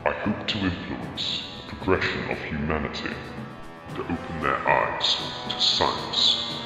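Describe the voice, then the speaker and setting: A man's voice: a bass voice, unhurried, over music. A man playing a scheming alien lord who threatens and commands those beneath him. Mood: cold threat. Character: scheming male alien lord, cold and menacing. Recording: echoey lo-fi fan audio drama.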